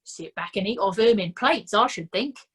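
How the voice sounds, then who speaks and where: A woman voicing a kindly, slow-witted male sergeant who fusses and cracks jokes. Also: medium pitch, animated, a bit bright, slightly rough. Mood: fussing.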